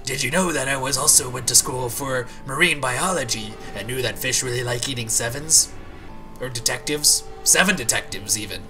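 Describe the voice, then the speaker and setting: A man's voice: medium pitch, moderate pace. A man streaming a game, joking and riffing over its cutscenes in casual commentary. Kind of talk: casual let's-play commentary. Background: game music.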